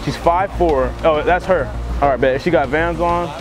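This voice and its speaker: A man's voice: fairly high pitch, normal speed, background noise. A young American man doing a casual fake phone call as a prank, talking in slangy, profane language. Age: young adult. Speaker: prankster host of a hidden-camera show. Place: outdoors on a campus walkway.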